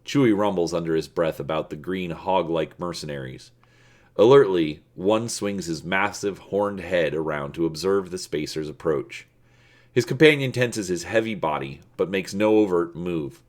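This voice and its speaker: A man hosting and dramatically reading an adventure story, deliberate and stretching words for emphasis. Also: deep voice, expressive. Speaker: video host and storybook narrator.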